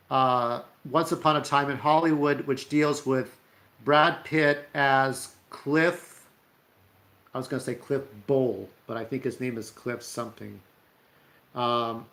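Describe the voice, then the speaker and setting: A man with a mid-pitched voice hosts a casual, unscripted news commentary. He talks in a rambling, halting way, often pausing to hunt for the right name. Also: fairly bright tone. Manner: rambling and halting, searches for names. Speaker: host of a stunt news show.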